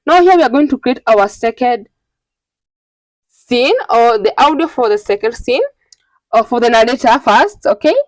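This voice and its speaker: A woman with a fairly high voice walks listeners through a software tutorial one step at a time. She is instructional and often checks that they are following. The recording is dry, with very little room sound. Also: lively intonation, moderate pace, long pauses, no echo, rough recording. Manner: instructional, repeatedly checking in with "okay". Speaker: tutorial host.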